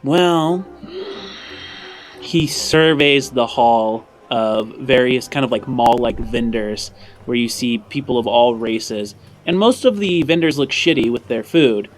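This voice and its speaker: Slow-paced man narrating a tabletop game. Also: mid-range voice. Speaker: game master.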